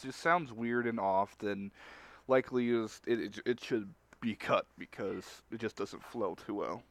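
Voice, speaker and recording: A man co-hosting a casual group stream chat, speaking haltingly and often rephrasing as he goes. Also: lowish voice. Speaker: stream co-host.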